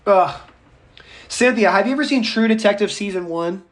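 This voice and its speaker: A raspy-voiced male public speaking instructor lecturing a live online class, speaking loudly without a microphone, chatty and prone to tangents. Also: sits high, normal speed. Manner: speaks loudly, projecting as if shouting; enthusiastic, goes off on tangents and laughs. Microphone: no microphone, voice caught by the room recorder.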